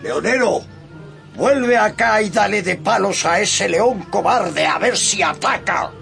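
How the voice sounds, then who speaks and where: A deluded, hot-headed old knight-errant in a radio play. His voice is piercingly high and creaky, with grand, archaic declamation that swings widely. Faint music sits behind him. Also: expressive, slow, far from the mic, exaggerated voice. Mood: commanding. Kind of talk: radio drama acting.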